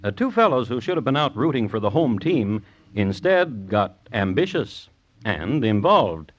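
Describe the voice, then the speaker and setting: Casting: droll crime-tale host, deliberate but swooping delivery, dull vintage radio sound. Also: male voice, lowish voice, big pitch swings, breathy edge, dry recording, full character voice. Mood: wry, droll. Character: wry, dry male crime-story host and narrator. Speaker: host and narrator. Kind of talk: 1950s radio scripted narration.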